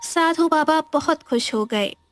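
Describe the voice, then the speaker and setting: A woman's voice: high voice, a little slow, faint music behind. A woman narrating a scripted moral tale as a storyteller.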